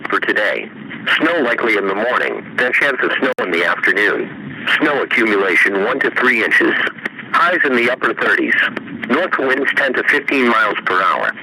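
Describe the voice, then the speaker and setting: A synthetic male text-to-speech voice reading a scripted weather bulletin over the radio in a measured, impersonal, formulaic way. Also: medium pitch, big pitch swings, pushed and bright. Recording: noisy weather radio broadcast reception.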